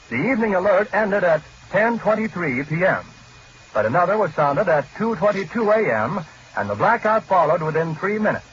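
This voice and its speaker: A male radio reporter delivers a scripted 1940s news bulletin. His voice is fairly high for a man and his delivery is formal and factual. The vintage broadcast sounds dull, with faint noise. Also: fairly bright tone. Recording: muffled, noisy 1940s radio recording.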